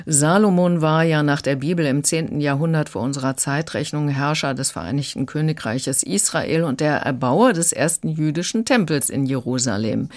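Calm, explanatory radio book critic. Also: mid-range voice, moderate pace, hardly any pauses. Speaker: audiobook critic. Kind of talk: radio review chat.

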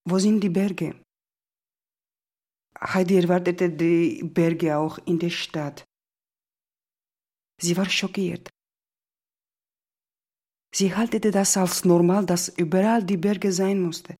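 A non-native woman retells a story in a husky voice. Her delivery is very slow and reflective, each phrase set apart. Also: fairly low pitch, steady tone, dark tone. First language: not German.

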